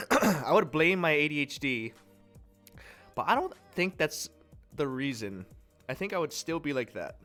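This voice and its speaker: A congested, nasal-sounding man from the United States hosting a livestream, chatting casually and rambling through viewers' comments with a relaxed, self-deprecating manner. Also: a little high, unhurried, pauses often, faint music behind. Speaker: livestream host. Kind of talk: casual chat reading and answering viewer chat.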